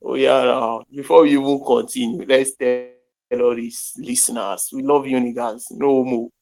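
A man's voice: mid-range voice, little pitch movement, moderate pace, gravelly, a little mellow, poor audio. A young man from Nigeria with a Nigerian accent, hosting a podcast over an internet call and chatting casually in pidgin and English with Yoruba phrases, joking as he goes. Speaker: podcast host.

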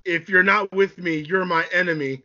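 A man's voice: high voice, steady tone, normal speed, pushed and bright, dull audio, voice pushed up. A man playing a furious, betrayed young warrior turning to evil in an amateur drama, declaiming melodramatically. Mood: defiant, menacing.

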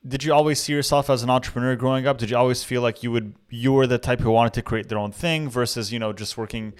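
A man hosting a podcast interview, asking questions in an upbeat, energetic way. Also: mid-range voice, quick pace, clear, resonant tone. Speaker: podcast host. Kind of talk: casual interview.

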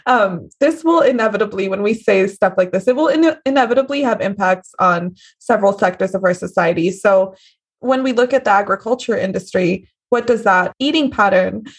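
A young woman hosts a podcast interview in a slightly husky, mid-pitched voice. She asks friendly, agreeable questions that are full of fillers. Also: a little fast. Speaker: podcast host. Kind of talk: conversational interview.

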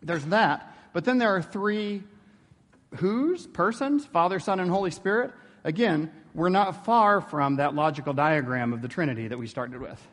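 A man with a somewhat high voice giving a relaxed theology lecture. He talks conversationally and keeps tossing rhetorical questions at his listeners. His voice rings out with a long hall reverb. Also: moderate pace. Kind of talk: informal classroom lecture.

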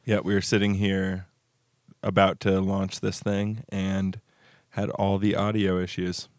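A man co-hosting a podcast, talking in a relaxed, wry way and thinking aloud. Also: sits low, unhurried, dry recording, studio quality. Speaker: podcast co-host. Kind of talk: casual chat.

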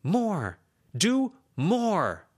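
A man's voice: medium pitch, very animated, moderate pace, voice pushed up, lightly acted. A man voicing an anxious, protective teenage girl who lectures her friends intensely in an audiobook. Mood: upset, raised. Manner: intense, lecturing, rising to shouts. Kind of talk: audiobook character reading.

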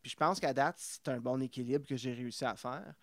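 A man's voice: medium pitch, fairly quick, fairly bright tone, no room sound. A man hosting a podcast, asking long rambling questions full of stumbles and fillers. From Ontario. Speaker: podcast host. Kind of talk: casual interview.

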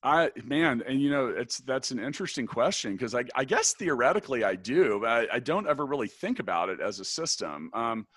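A man with a big, deep voice answering interview questions thoughtfully, restarting his sentences often. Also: big pitch swings, a little fast, few pauses, fairly bright tone, breathy edge, no room sound, clean studio sound. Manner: thoughtful, many restarts and fillers. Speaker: podcast guest, business founder.

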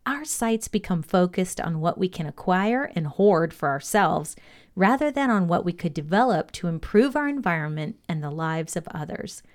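A woman presenting a scripted motivational podcast lesson, reading aloud in a measured, deliberate, instructional way. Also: on the low side, lively intonation.

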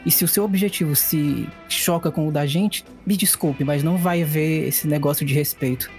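A man playing a tabletop RPG, speaking in character with a deliberate, argumentative manner. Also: fairly high pitch, darkish tone, faint music behind. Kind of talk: improvised in-character roleplay, casual table talk.

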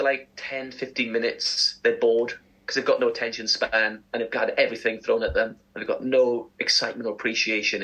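A man's voice: medium pitch, breathy edge, rough recording. A man in his forties co-hosting a casual breakfast radio show, ranting and laughing as he talks. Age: 40s. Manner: ranting, animated, laughs often. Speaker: radio co-host.

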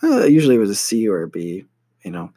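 A man's voice: on the low side, huge pitch swings, fast talker, mellow, breathy edge, no room sound, studio quality. A young American man telling a personal story about his studies in a casual, hesitant way, full of fillers and restarts. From United States. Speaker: university student.

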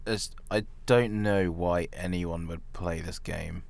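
A man with a deep, low voice co-hosts a film podcast. He speaks casually and bluntly, with a scornful edge to his opinions. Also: fairly even intonation, takes it slowly, vocal fry, no room sound. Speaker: podcast co-host.

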